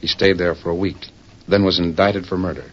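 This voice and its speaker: Man as very deep, dramatic radio storyteller. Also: fairly even intonation, fairly quick, slight background noise, natural voice. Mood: grave, suspenseful. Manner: solemn, theatrical. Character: grave, dramatic storyteller of a western serial. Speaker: narrator and announcer. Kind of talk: dramatic 1940s radio narration. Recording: muffled 1940s radio recording.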